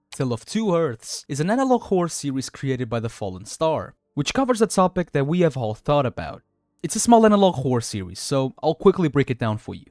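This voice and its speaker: A man's voice: mid-range voice, darkish tone, no room sound, studio quality. A man reading scripted video essay narration in a dramatic storytelling manner.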